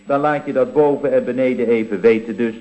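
A man's voice: medium pitch, fairly quick, very noisy, lightly acted. An actor playing a deferential employee in a vintage Dutch radio comedy, earnestly and hesitantly explaining and excusing things to his boss. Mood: matter-of-fact. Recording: dull, boxy vintage radio recording, roomy.

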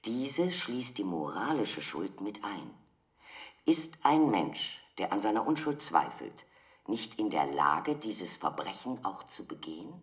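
An actor playing a cold, methodical official of a computer justice system in a German radio drama, speaking in a measured, insistent way. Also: male voice, low voice, big pitch swings, a bit bright, full character voice. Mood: formal, calculating.

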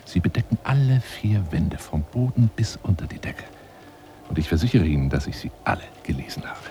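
A man's voice: low voice, big pitch swings, a little fast, warm tone, a little hiss or hum. An actor playing a lonely, self-important bookish man in a radio drama monologue, theatrical and rambling, often dropping to a near-whisper. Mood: proud, confiding.

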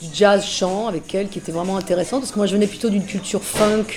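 A middle-aged woman musician chatting casually in an interview, relaxed and full of fillers. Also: mid-range voice, fast talker, faint music behind. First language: French. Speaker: musician guest.

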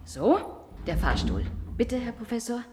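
An actress playing a polite, breezy attendant at an eerie clinic in a German radio play, courteous and reassuring. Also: female voice, fairly high pitch, sing-song, a little slow, background noise. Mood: polite, chirpy.

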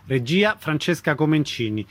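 A man's voice: on the high side, big pitch swings, normal speed, fairly bright tone. A man hosting a radio programme, reading announcements and introducing a guest. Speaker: radio host. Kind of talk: scripted radio announcing and interview. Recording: radio broadcast.

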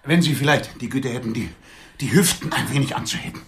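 A man's voice: medium pitch, quick pace, slight background noise, lightly acted. A man acting in a radio drama as an aging, lecherous swindler, blunt, wry and world-weary. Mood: strained, excited. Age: older. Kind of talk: intimate radio drama dialogue.

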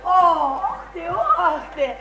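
Brash, flirty working-class widow, very high voice, slangy chatter, radio drama in an echoey room. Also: female voice, very animated, extremely slow, bright, forceful tone, full character voice. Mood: flirty, playful. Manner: flirty, teasing, folksy and slangy. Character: brash, boozy, chattering widowed house painter, a woman. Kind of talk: theatrical radio play acting.

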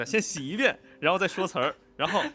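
Agreeable medium-pitched man in casual chat. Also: background noise. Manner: agreeable, repeats short affirmations. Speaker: audio drama voice production colleague.

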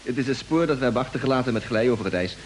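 A man's voice: mid-range voice, expressive, very fast, lightly acted. An actor playing a chatty, curious crewman in an old radio space drama, eager and colloquial. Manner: eager, colloquial, full of exclamations. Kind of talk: mid-century Dutch radio drama acting. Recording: hissy old radio recording.

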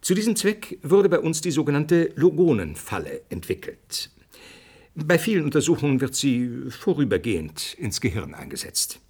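A didactic researcher in a radio drama interview. His voice is slightly high and rough-edged, earnest and brusque as he explains. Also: wildly swooping pitch, moderate pace, a little mellow, heavily acted. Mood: lecturing. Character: earnest male scientist, explaining theory, brusquely dismissive of speculation.

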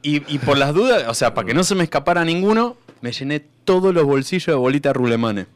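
A man's voice: a little high, lively intonation, fast, no room sound, natural voice. A man playing an eager, rambling martyred man in a comedic radio sketch, hesitant and stammering as he claims his reward. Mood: eager, explaining.

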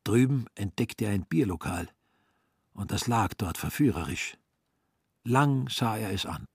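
A man reads scripted narration for a literary radio play. His voice is slightly low and quite husky, suited to prose storytelling. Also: animated, measured pace, dry recording, clean studio sound.

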